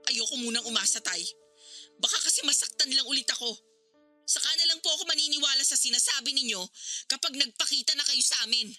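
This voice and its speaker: A woman's voice: sits high, quick pace, bright, forceful tone. An actress playing a hurt, resentful grown daughter reproaching her father in an emotional radio drama. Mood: hurt, guarded. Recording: dramatic music behind the voice. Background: music.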